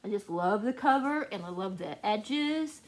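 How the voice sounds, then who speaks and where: A woman's voice: medium pitch, expressive, measured pace. A woman chatting casually to viewers as a book vlogger, gushing and often repeating words for emphasis.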